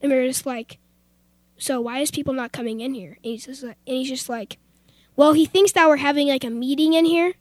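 A young girl in her teens with a high voice tells a personal story on youth radio. Her phrasing is loose and casual, and the recording is dry and echo-free. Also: brisk. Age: teenager.

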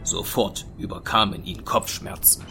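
Casting: male narrator, low-pitched, scripted storytelling for an audio drama, heavy music bed. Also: little pitch movement, measured pace, vocal fry. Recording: loud music under the voice.

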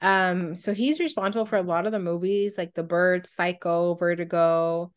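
A woman's voice: on the low side, normal speed. A woman co-hosting a podcast in casual conversation, speaking informally and thinking aloud as she goes. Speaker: podcast co-host.

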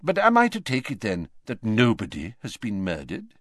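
A man voicing an old, weakened headmaster wizard who speaks lightly and conversationally, sometimes mumbling softly. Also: fairly low pitch, huge pitch swings, moderate pace, no echo, clean studio sound. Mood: wry. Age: older.

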